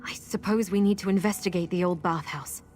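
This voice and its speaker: Masked city guide for fantasy RPG, played by a woman, low register, measured and resolute, faint music underneath. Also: fairly even intonation, darkish tone, lightly acted. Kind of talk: fantasy game voice acting.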